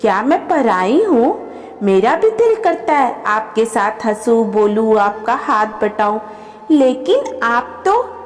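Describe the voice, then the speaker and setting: A woman's voice: on the high side, very animated, slow, in a large hall, faint music behind. A woman voicing a sensitive, pleading young daughter-in-law in a family storybook tale. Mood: plaintive, hurt. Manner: plaintive, pleading. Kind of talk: storybook narration acting.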